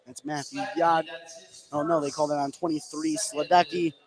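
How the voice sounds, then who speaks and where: A man calling live high school basketball play-by-play from an indoor gym, running through the action and getting excited on big plays. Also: moderate pace, crowd noise. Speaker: play-by-play commentator. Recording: noisy live gymnasium ambience.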